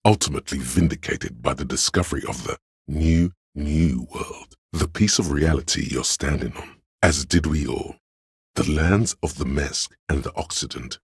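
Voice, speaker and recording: An actor playing an erudite, reverent inner voice of knowledge in a video game, narrating in a measured, grandiloquent way with a deep, gravelly voice. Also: male voice, dry recording, studio quality, exaggerated voice. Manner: measured, grandiloquent, savoring words. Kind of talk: dramatic scripted narration.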